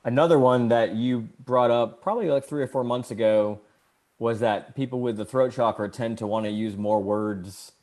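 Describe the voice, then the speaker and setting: A man co-hosting a podcast, talking earnestly in a casual spiritual chat, rambling a little and getting animated as he tells stories. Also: mid-range voice, moderate pace. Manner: earnest, rambling, gets animated when telling a story. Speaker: podcast co-host.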